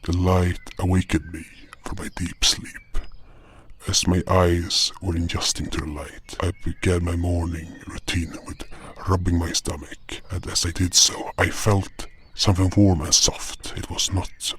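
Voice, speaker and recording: A man's voice: low voice, level tone, measured pace, a little hiss or hum. A man reading scripted first-person fantasy narration as a storyteller. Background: music.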